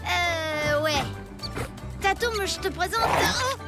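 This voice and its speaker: Excitable cartoon cave boy, affectionate, very high, wildly animated, loud music behind. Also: takes it slowly, full character voice. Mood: excited. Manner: exclamatory, emphatic. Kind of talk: French animated series acting.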